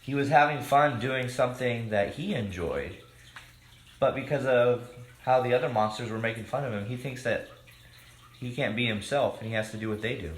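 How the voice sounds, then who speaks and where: A man with a mid-range voice reads a picture book to children, adding asides addressed straight to them. His delivery is expressive, and the room is echoey with a little noise behind him. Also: mid-range voice, moderate pace. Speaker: children's storybook reader. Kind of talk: read-aloud storytelling with asides to children.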